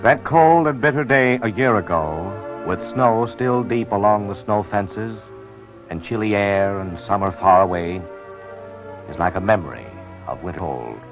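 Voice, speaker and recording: A solemn narrator, performed by a male actor delivers poetic lines in a low voice, in 1940s radio style. Music runs clearly behind him on a slightly muffled old broadcast. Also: measured pace, natural voice. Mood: solemn, lyrical. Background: orchestral music.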